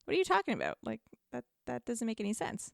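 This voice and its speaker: A woman's voice: fairly high pitch, huge pitch swings, fast talker, gravelly, no echo, clean studio sound. A woman co-hosting a podcast, chatting casually and colloquially, saying "like" often and acting out her own reactions as she gives opinions. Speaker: podcast co-host.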